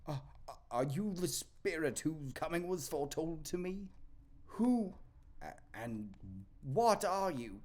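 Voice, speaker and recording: A woman playing a shaken old miser in an audio play, speaking in a halting, muttering way. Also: sits low, expressive, a little mellow, full character voice. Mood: fearful, halting.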